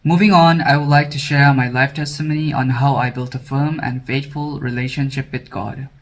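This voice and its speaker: A young man from Sri Lanka reads a prepared testimony as a scholarship candidate. His delivery is formal and steady, with a mid-range voice, like a text read aloud. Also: mid-range voice, moderate pace. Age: 20s.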